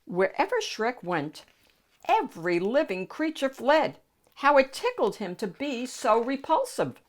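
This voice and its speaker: Slow-paced woman reading a storybook. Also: fairly high pitch, big pitch swings. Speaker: host of a children's book read-aloud show. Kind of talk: expressive storybook narration.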